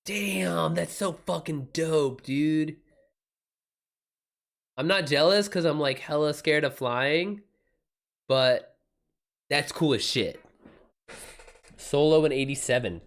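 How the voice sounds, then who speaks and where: A man's voice: a little high, takes it slowly, gravelly, long pauses. A man hosting a livestream, chatting casually with viewers in loose slang and swearing freely. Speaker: livestream host.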